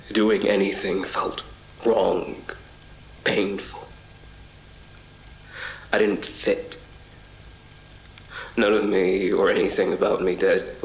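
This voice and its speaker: Actor as a deep, haunted, halting man. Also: a little hiss or hum. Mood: pained, struggling. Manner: halting, hushed, breathy pauses, reflective. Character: shaken, apologetic man haltingly recounting an otherworldly ordeal. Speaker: voice actor in an audio drama. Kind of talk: intimate first-person audio drama monologue. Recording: roomy echo with music bed. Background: music.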